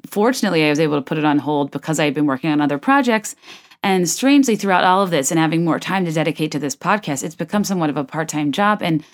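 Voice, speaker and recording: Woman in her 30s, podcast host, deep voice, low and soft late-night tone, rambling chatter. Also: quick pace. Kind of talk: casual solo podcast chat.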